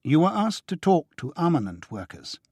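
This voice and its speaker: A man's voice: on the high side, very animated, moderate pace, a little mellow, slightly rough, no echo, voice pushed up, heavily acted. A man reading a stern, curt, businesslike woman who serves as a secret contact in an audiobook.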